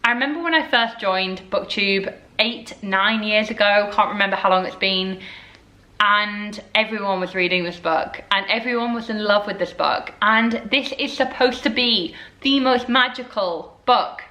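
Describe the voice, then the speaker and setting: A woman's voice: mid-range voice, moderate pace, bright, forceful tone. A woman in her twenties chatting casually to camera as a book vlogger, rambling with lots of fillers. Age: 20s. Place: indoors at home, in front of bookshelves. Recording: echoey room.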